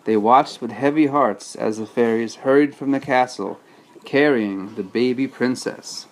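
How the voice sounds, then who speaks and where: A man's voice: medium pitch, unhurried, poor audio. A man reading a storybook aloud as a father, patiently breaking off to explain things casually to a child.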